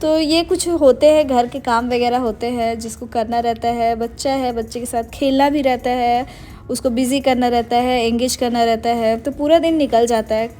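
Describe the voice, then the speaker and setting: Chatty woman vlogger with a mellow voice. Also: fairly high pitch, fairly even intonation, normal speed. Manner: chatty, meandering, mixes English words into Hindi. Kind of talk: casual vlog voice-over narration.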